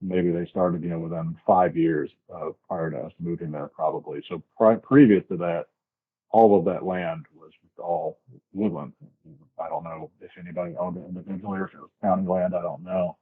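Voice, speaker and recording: An American man from the southern US with a deep, rough-edged voice tells his experiences in a casual, descriptive way. He sounds like an interview guest on a narrow, phone-like connection. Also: fairly even intonation, brisk. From southern United States, grew up in Florida. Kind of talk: casual first-hand storytelling. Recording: tinny, band-limited remote call.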